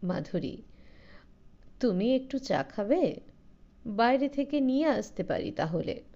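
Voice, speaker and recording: A woman reading the part of a gentle, attentive middle-aged husband, in a soft-spoken way. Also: on the high side, sing-song, takes it slowly, lo-fi sound, full character voice. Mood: tender.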